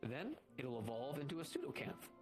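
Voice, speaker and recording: A man's voice: mid-range voice, animated, a little fast. A man in improvised roleplay chat, explaining creature lore in detail and repeating points for emphasis. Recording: loud music behind, online voice chat. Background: music.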